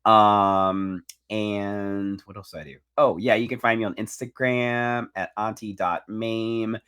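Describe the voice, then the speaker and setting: A man co-hosting a chatty podcast, hoarse from being sick, with a deep baritone voice and a rambling, animated way of telling stories. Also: takes it slowly. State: hoarse from illness. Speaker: podcast co-host. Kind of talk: casual chat. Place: Miami.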